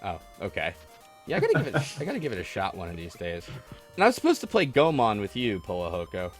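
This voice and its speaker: A man's voice: fairly low pitch, wildly swooping pitch, quick pace. A man hosting a gaming livestream, chatting casually and rambling with callers in a geeky, self-deprecating way. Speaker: host of a gaming livestream podcast taking callers. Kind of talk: casual chat while playing a game. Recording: game music behind the voice. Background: retro video game music.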